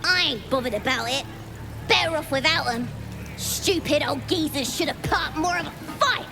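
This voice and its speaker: A woman's voice: high voice, animated, normal speed, music in the background. A woman playing a cruel, belligerent young goblin in a video game, taunting in working-class English slang. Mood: callous, threatening. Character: brash, cruel young orphaned goblin brawler. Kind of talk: video game character acting.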